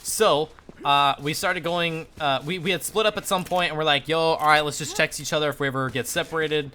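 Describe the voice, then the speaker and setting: A young man telling a casual story while gaming on a livestream, animated and slangy, swearing freely. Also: fairly high pitch, a little fast, a little hiss or hum. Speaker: live streamer.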